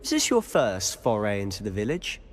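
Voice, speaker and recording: Rich: A charming young wizard student. His lively, bouncing delivery stays polished and playful. A long echoing reverb trails his voice. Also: on the low side, moderate pace, slight background noise.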